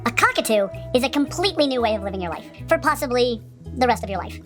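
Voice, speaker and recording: A woman's voice: on the high side, lively intonation, quick pace, over music. A woman presenting pet-care advice in a direct, earnest, informative way.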